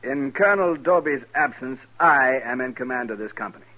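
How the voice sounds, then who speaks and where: A man's voice: mid-range voice, animated, fairly quick, pushed and bright, highs cut off. A man playing a cold, smug veteran Army captain, formal and sardonic, in 1950s radio western style. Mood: stern, menacing.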